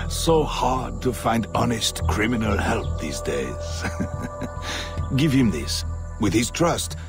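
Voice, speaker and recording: A man's voice: fairly low pitch, expressive, very slow, long pauses, loud music behind the voice, exaggerated voice. A man playing a roguish, flirtatious smuggler in a video game. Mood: sly, charming. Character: roguish, flirtatious, theatrical male smuggler of the bayou.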